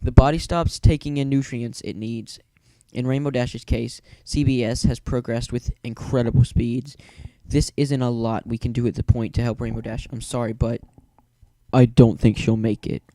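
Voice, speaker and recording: A grave male doctor pony, read by a man, speaks in a mellow, mid-pitched voice. The manner is measured and apologetic, as in a story reading. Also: fairly even intonation, no room sound, close to an everyday voice, rough recording.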